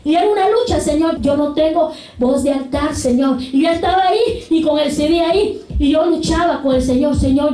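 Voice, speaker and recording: Fervent high-voiced woman preaching in an echoey hall. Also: warm tone, recorded from a distance. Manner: fervent, repeats hallelujah and glory to God. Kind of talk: sermon.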